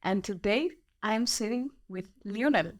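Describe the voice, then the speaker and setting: A woman's voice: medium pitch, normal speed. A woman hosting a podcast interview, playful and teasing, laughing along with her guest. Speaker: podcast host.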